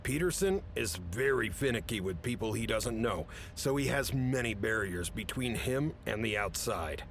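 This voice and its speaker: A man playing an authoritative, businesslike chief who briefs agents in a measured way in an audio drama. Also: expressive, gravelly, a bit bright. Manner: authoritative, measured, matter-of-fact. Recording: rumbly background noise.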